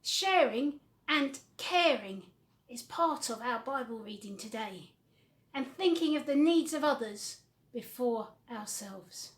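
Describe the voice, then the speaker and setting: A woman with a high voice presents to children. She speaks slowly, putting simple questions to young listeners in a church children's programme style. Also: expressive. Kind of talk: gentle questioning talk to children.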